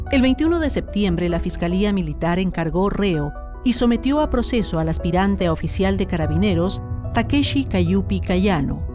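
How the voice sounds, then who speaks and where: Chilean woman narrating over music. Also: fairly low pitch. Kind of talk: scripted documentary narration.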